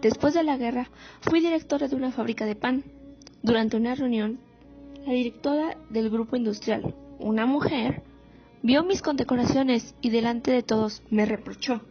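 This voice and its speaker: A woman's voice: fairly high pitch, moderate pace, lightly acted. A woman playing a proud, wounded female war veteran recounting memories in a radio drama monologue. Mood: reflective, pained. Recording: muffled audio with music underneath.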